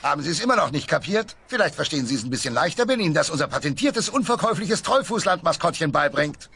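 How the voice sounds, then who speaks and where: Raspy, slick park official. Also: male voice, a little high, sing-song, quick pace, pushed and bright, exaggerated voice. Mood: condescending. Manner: oily, condescending. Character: slick, money-grabbing male amusement park official. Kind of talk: comic audio drama acting.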